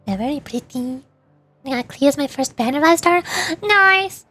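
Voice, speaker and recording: A woman's voice: sits high, normal speed, husky, soft background music. A woman livestreamer chatting casually over a game in a rambling, playful way. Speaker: livestreamer, VTuber. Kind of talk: casual chat while gaming, answering live chat.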